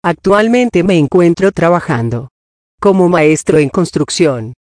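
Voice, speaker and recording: A Colombian man who works as a construction foreman, giving a personal testimony in measured, formal wording. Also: on the high side, a little mellow, dry recording, clean studio sound.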